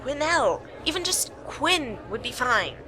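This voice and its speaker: A woman's voice: high-pitched, very animated, measured pace, a crowd in the background. A woman playing a wry, determined young private investigator in an amateur radio play. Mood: wry, brisk.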